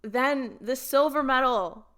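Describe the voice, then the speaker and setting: A woman hosting a casual group podcast, chatty and playful and quick to laugh. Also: sits high, fairly bright tone. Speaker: podcast host.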